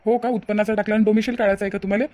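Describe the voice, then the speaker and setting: A woman plays a sly young man out to swindle villagers in a cartoon story. The mid-range voice rattles along very fast. It has a rural Vidarbha accent and keeps up pushy, falsely reassuring patter. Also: medium pitch, little pitch movement, mellow, lightly acted. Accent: rural Vidarbha Marathi. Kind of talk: Marathi cartoon moral-story dialogue.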